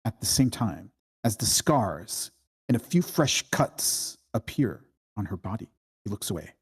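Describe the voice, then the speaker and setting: A man narrates the stage directions of a screenplay at a table read. His voice is rather low and noticeably husky. The delivery is scripted narration. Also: big pitch swings, unhurried.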